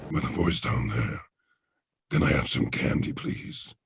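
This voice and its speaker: A man who is a voice actor, with a very deep gravelly voice, talking casually and performing game lines. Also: lively intonation, a little slow, no room sound, clean studio sound.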